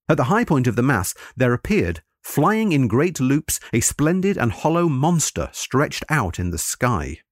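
A man reading a scripted history account in a measured, formal and articulate way. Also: mid-range voice, no echo, clean studio sound. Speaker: history lecturer and narrator.

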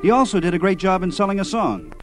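Male radio host, slightly high voice, scripted nostalgic program narration over prominent music. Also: sing-song, fast. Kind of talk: scripted narration introducing old radio clips.

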